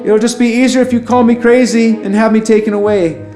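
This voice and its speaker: High-voiced, earnest alien presidential candidate played by a man. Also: fairly even intonation, a little fast, warm tone, reverberant, soft background music. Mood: defiant, resigned. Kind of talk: audio drama acting.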